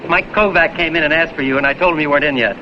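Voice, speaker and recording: A man acting as a deferential amusement-park employee in a vintage crime show. His voice is a little high, on a dull soundtrack with noticeable noise behind it. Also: rapid-fire, lightly acted.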